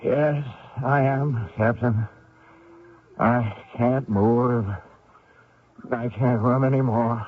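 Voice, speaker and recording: A man's voice: medium pitch, fairly even intonation, drawn-out words, pauses often, a little hiss or hum. An actor playing a desperate, wounded American fugitive soldier who dramatically recounts his escape in old-time radio style. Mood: pleading, exhausted. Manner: dramatic first-person narration with strained pleading. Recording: tinny old radio broadcast with music.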